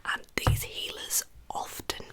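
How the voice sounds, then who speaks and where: Lady doing asmr storytime, all breathy whisper, really bright and airy, chatty and soft. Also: slow pace. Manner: soft-spoken, informal narration with chatty asides. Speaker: true crime ASMR host.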